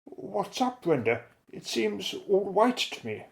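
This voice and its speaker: A man playing an unflappable, methodical explorer and airship pilot in an audio drama, speaking in a measured, old-fashioned, explanatory way. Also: sits high, big pitch swings, gravelly, exaggerated voice. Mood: businesslike.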